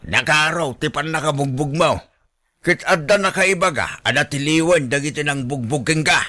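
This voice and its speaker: Man acting a sharp-voiced, stern Ilocano father. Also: fairly high pitch, normal speed. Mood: concerned. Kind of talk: Ilocano radio drama acting.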